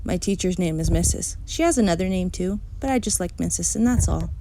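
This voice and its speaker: A woman's voice: fairly low pitch, animated, a little fast, noisy background. A woman reading a children's storybook aloud in the first person, as a young child telling the tale. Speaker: storybook reader.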